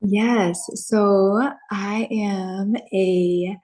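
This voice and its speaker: A woman in her thirties from Chicago talks as a guest on a casual podcast. She speaks calmly and reflectively, pausing with ums. Her pitch is average for a woman. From Chicago, USA. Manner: calm, reflective, with frequent um and soft laughs. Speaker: podcast guest, content creator and author. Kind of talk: casual interview chat.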